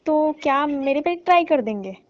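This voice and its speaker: Woman playing young bahu scolding and teasing on a funny phone call skit, high voice, dull lofi audio. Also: lively intonation, moderate pace, a little mellow. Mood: reproachful, teasing. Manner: mock-reproachful, teasing. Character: scolding yet teasing married young daughter-in-law.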